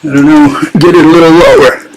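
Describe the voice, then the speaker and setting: A man's voice: fairly high pitch, fairly even intonation, brisk, very noisy. A man hosting a casual live-streamed talk, sarcastic and chatting with his viewers as he goes. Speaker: channel host giving a critique.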